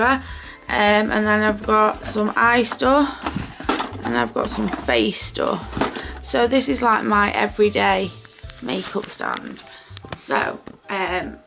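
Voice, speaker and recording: A woman's voice: mid-range voice, lively intonation, slow, creaky, over music. A British woman chatting casually and off the cuff, rambling and self-deprecating in an informal vlog style. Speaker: vlogger. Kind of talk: casual unscripted chatty get-ready-with-me.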